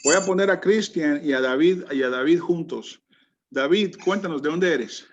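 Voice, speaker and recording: A man's voice: on the high side, normal speed. A man teaching a Bible class over a video call, chatty, restarting his sentences a lot. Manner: chatty, many restarts and fillers. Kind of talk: online class, lecturing and calling on students. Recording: muffled lo-fi video call audio.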